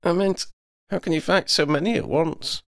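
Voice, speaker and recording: Man putting on a caring girl leader, raised pitch. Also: moderate pace, vocal fry. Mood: concerned, curious. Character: caring, brisk girl who leads a band of companions.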